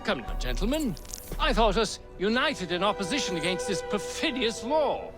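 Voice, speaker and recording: Casting: pompous English aristocrat, animated and melodramatic, high voice, period video game. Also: male voice, moderate pace, music in the background. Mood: blustering, genial. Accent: upper-class English. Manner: pompous, declamatory. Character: pompous, blustering Victorian aristocrat and military man.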